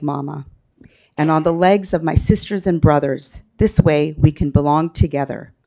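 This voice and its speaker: A woman reading a poem aloud at a council meeting, loud and impassioned. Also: low-pitched. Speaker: resident public commenter.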